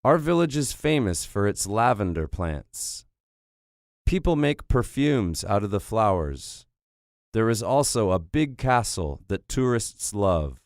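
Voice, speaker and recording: A man reading a textbook passage as a boy from a French village, slow and careful. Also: lowish voice, big pitch swings, no echo, studio quality. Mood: plain.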